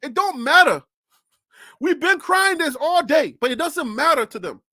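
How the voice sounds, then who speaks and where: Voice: high voice, animated, normal speed, sharp tone, no echo, clean studio sound. A sports show host from North Carolina ranting on a live stream. The host fires incredulous rhetorical questions and laughs often.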